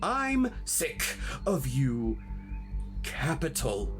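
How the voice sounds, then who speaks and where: A woman's voice: rumbling low, huge pitch swings, very slow, faint music behind, heavily acted. A woman playing a bitter, scornful, rebellious emotion in an audio drama, with an imperious theatrical delivery. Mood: defiant. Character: bitter, rebellious personified Selfishness, indignant and scornful.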